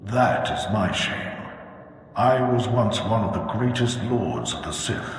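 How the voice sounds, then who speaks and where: Ancient Sith lord ghost, grave, proud, slow, huge echoing hall, video game cutscene. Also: male voice, on the low side, expressive. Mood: bitter regret. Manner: solemn, archaic, grandiose. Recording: cavernous hall reverb, background noise.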